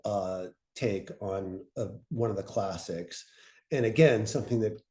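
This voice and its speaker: A man speaking informally as a panelist, thoughtful and halting, often breaking off to rephrase his point. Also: on the low side, dark tone. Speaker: panelist presenting on podcast pitching.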